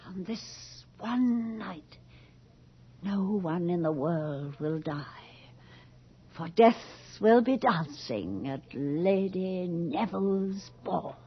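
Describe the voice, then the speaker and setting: A woman's voice: fairly low pitch, animated, slow pace, husky, heavily acted. A woman playing a haughty, fearless old aristocratic lady with theatrical deliberation in a radio drama. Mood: resolute, stately. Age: older. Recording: muffled old radio recording, music behind.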